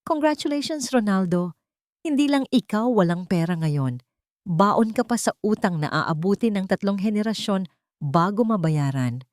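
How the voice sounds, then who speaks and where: A woman voicing a wronged wife in an audiobook drama, cold, deliberate and vengeful. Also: medium pitch, animated, darkish tone, dry recording, studio quality, close to an everyday voice. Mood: triumphant.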